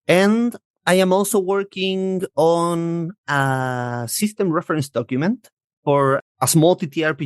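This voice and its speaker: A man's voice: a little high, no echo, studio quality. A man in his thirties from Chile, a native Spanish speaker, chatting as a podcast guest and often searching for words. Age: 30s. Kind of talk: casual interview chat.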